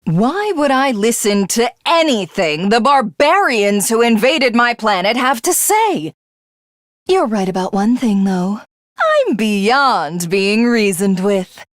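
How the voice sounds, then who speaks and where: A woman's voice: a little high, no echo, studio quality. A voice actress playing a haughty, cruel female alien supreme commander for a video game, with imperious, theatrical, formal diction. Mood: cold menace.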